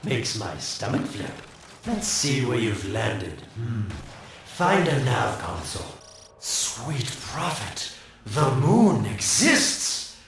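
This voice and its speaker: An actor voicing a sly, mocking scientist who taunts in smug, sarcastic drawls. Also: male voice, medium pitch, big pitch swings, takes it slowly, very echoey, distant mic, slight background noise. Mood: sardonic. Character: sly, mocking male scientist, gleeful schemer.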